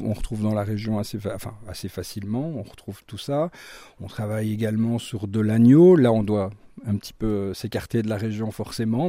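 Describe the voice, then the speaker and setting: A man's voice: fairly low pitch, mellow. A middle-aged man with a Belgian French accent, speaking casually as a chef being interviewed. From Brussels, Belgium.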